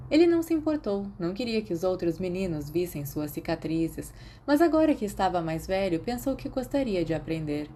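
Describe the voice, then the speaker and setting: A woman's voice: fairly low pitch, warm tone, a little hiss or hum. A woman with a Brazilian Portuguese accent reading scripted fiction as an audiobook narrator.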